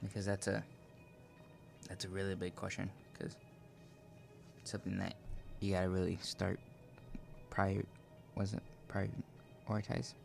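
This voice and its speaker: A man's voice: low-pitched, flat delivery, unhurried, gravelly, long pauses, darkish tone, soft background music. A young man co-hosting a casual radio show, bantering with his brother in a laid-back, joking way. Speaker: co-host.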